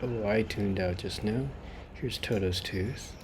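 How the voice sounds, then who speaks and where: A man's voice: lowish voice, slow pace, heavy background noise. A man reading a video game's story aloud as a streamer, with casual asides. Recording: loud game music behind the voice. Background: loud game music.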